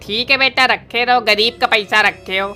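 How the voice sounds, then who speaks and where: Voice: medium pitch, steady tone, normal speed, over music, close to an everyday voice. A performer voicing a cheeky, impatient quiz contestant in a comedy cartoon, whiny, mocking and clownish. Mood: sulky demanding. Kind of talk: comic cartoon banter.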